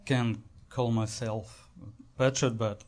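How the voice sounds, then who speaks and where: A man's voice: mid-range voice, steady tone, background noise. A man from Russia with a Russian accent, chatting casually and hesitantly as a guest on a comedy show. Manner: halting, hesitant. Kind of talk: casual chat.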